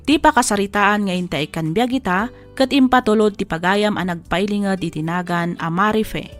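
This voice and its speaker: A woman's voice: medium pitch, normal speed. A woman giving scripted dramatic narration, reading out a letter as the narrator of a drama. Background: music.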